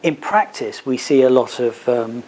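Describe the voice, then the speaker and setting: A man's voice: medium pitch, measured pace, darkish tone, poor audio. A man speaking as a lawyer at a seminar, answering questions in a hesitant, explanatory way. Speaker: advocate giving a legal seminar. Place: seminar at a business hub. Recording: faint background noise.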